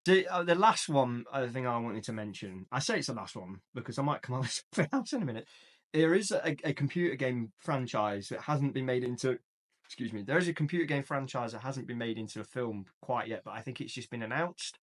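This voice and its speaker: Podcast co-host, male, middle pitch, relaxed rambling delivery with frequent fillers. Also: fairly quick. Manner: chatty, laughs easily, trails off with fillers. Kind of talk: casual film chat.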